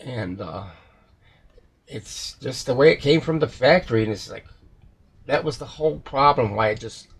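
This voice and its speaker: Male repair technician, heavy fry and a husky edge, unscripted and rambling, thinking aloud. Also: medium pitch, lively intonation, normal speed, pauses often. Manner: rambling, hesitant, self-correcting. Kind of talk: casual unscripted repair walkthrough.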